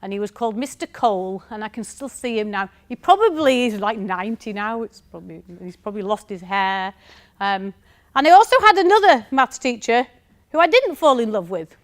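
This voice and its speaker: A woman's voice: fairly high pitch, expressive, fairly quick. Chatty northern English woman presenter. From north of England. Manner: chatty, anecdotal, often checks in with "okay?". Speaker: university researcher giving a conference talk. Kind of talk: conference presentation.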